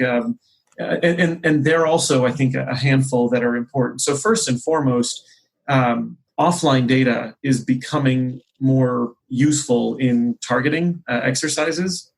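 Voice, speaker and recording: A man with a somewhat husky voice at an average pitch explains business ideas. He is conversational but measured, pausing mid-phrase and rewording his points as he goes. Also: poor audio. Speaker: company executive, interview guest.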